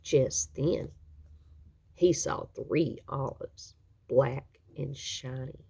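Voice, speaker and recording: A woman reading a children's picture book aloud expressively, with dramatic, drawn-out emphasis on key words. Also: a bass voice, creaky, mellow, breathy edge. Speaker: children's story reader.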